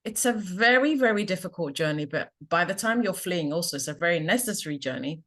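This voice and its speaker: A woman's voice: lowish voice, lively intonation, brisk, fairly bright tone. Earnest middle-aged woman coach. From Ghana. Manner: reflective, earnest storytelling. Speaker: confidence coach, guest. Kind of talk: interview, personal story.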